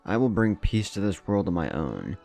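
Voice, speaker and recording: A man's voice: low voice, very animated, normal speed, creaky, a little mellow, faint music behind, exaggerated voice. A man voicing an earnest, resolute young ninja leader with emphatic speechmaking in a story narration.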